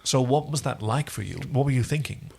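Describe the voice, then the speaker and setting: A man's voice: mid-range voice, fairly quick, creaky, darkish tone, breathy edge. A man co-hosting a podcast, talking casually and enthusiastically about a TV episode. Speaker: podcast co-host. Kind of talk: casual chat recapping a TV episode.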